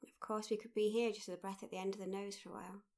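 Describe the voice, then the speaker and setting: A woman leading a guided mindfulness meditation, speaking gently in short, unhurried phrases with pauses. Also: medium pitch, little pitch movement, creaky. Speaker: meditation guide, workshop leader.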